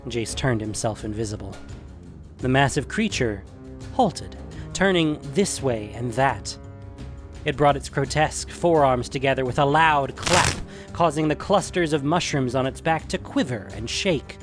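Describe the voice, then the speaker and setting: A man's voice: medium pitch, expressive, a little slow, faint music behind. Male narrator, scripted fantasy storytelling, mid-range voice, faint musical backing.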